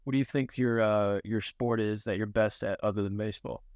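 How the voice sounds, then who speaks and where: A man's voice: lowish voice, steady tone, fairly quick, a little mellow, no room sound, studio quality. A man co-hosting a podcast in a casual, conversational style. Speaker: podcast co-host. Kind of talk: casual chat.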